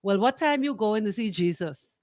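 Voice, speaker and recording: A woman's voice: mid-range voice, animated, normal speed, a little mellow, no echo, studio quality. A woman with a Bahamian accent hosting radio in a colloquial, emphatic banter style, repeating phrases for emphasis. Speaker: radio show host. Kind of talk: lively radio banter with sponsor shout-outs.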